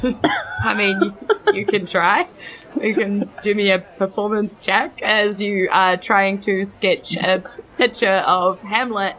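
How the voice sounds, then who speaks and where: A woman runs a tabletop game as dungeon master. Her narration is casual and conversational, and her pitch sits in the middle. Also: slow pace, fairly bright tone, highs cut off. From New Zealand.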